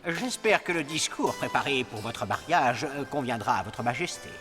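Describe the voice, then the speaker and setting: A man's voice: mid-range voice, animated, normal speed, in a large hall, music in the background, lightly acted. A man voicing a formal, hesitant, deferential royal adviser in a French cartoon. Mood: tactful.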